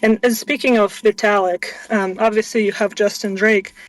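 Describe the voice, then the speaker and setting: Flat-voiced Russian-born woman guest. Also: medium pitch, recorded from a distance, low-quality recording. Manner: even, measured storytelling with frequent ums. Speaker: guest, venture investor. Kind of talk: casual interview chat.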